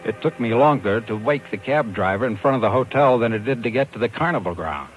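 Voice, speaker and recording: An American actor playing a determined talent agent who narrates, emphatic and hard-boiled, in a 1950s radio drama. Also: male voice, medium pitch, expressive, a little fast, narrow, tinny audio, over music, lightly acted. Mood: hard-boiled narration. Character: determined, brisk New York talent agent man, narrator. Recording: hissy old radio recording.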